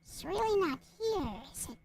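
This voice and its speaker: A woman chatting casually and haltingly, half to herself, as she narrates what she is doing on her computer. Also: extremely high pitch, sing-song, vocal fry, darkish tone. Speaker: visual novel creator narrating. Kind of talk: casual chat while searching computer files. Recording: faint background noise.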